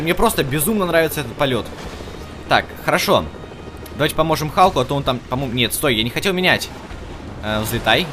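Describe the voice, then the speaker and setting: A man's voice: a little high, noisy background. A man giving casual let's play commentary as host, chatting to the game's characters and to his viewers. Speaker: gaming video host.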